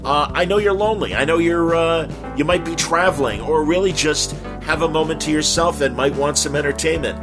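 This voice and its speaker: A man's voice: fairly high pitch, a little fast, hardly any pauses. A man hosting a podcast, speaking casually and unscripted straight to listeners in a friendly, rambling way. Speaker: podcast host. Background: guitar music.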